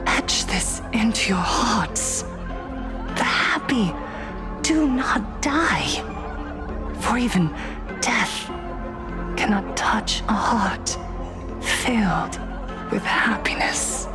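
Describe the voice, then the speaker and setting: Solemn orator, high pitch, very slow, declamatory, theatrical game acting, loud music. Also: female voice, lively intonation. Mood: solemn fervor. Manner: dramatic, declamatory.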